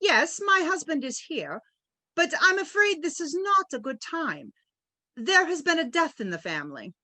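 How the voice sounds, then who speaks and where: Radio drama casting: icy, pompous society wife, forties, high bright voice, disdainful. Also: female voice, very animated, moderate pace, dry recording, exaggerated voice. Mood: frosty, formal. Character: haughty, icy society wife of about forty-five. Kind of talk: retro radio detective drama.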